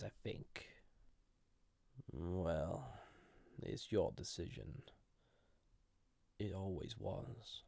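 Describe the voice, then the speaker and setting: Male actor as banished ancient entity for intimate audio roleplay: very gravelly, unhurried, hesitant, flirty with a dangerous edge. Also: sits low, no echo, full character voice. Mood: measured, resigned. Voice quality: gravelly, husky, breathy. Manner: hesitant, softly intimate, deliberate. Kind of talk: scripted audio roleplay addressed to listener.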